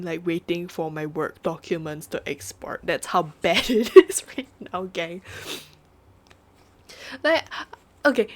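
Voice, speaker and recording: A sniffly young woman talks to her stream on a home microphone. She rambles, giggles and pokes fun at herself in easygoing chat. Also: fairly low pitch. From Singapore. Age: young adult. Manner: giggly, rambling, self-mocking. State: sniffly, with a runny nose. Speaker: VTuber streamer. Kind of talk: casual live-stream chat.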